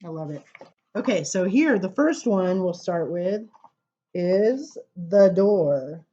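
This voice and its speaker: A woman reading children's poems aloud at story time, informal, with dramatic emphasis and drawn-out words. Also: fairly low pitch, animated, dark tone, muffled recording. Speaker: library story time reader.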